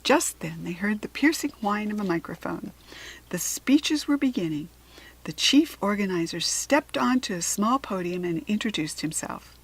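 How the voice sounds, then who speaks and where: Husky American woman reading a storybook. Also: mid-range voice, lively intonation, normal speed, poor audio. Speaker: storybook reader. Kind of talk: expressive children's storybook narration.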